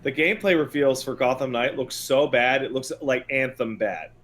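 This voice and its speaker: A man with a mid-pitched voice talks casually as a podcast co-host. He is blunt and sarcastic, airing his opinions on games with open exasperation. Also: moderate pace, fairly bright tone, a little hiss or hum. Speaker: podcast co-host and video game commentator.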